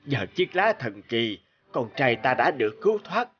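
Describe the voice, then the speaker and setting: An actor playing a grateful, dignified older mandarin in a cartoon folk tale, formal and courteous. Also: male voice, mid-range voice, very animated, moderate pace, muffled recording, close to an everyday voice. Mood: pleased, gracious. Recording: music under the voice.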